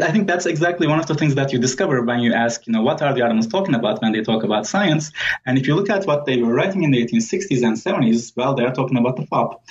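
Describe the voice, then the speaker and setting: A man speaking as a scholarly interview guest, explaining things in a thoughtful, measured way with pauses. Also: mid-range voice. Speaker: guest author and historian. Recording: dull lo-fi sound, faint background noise.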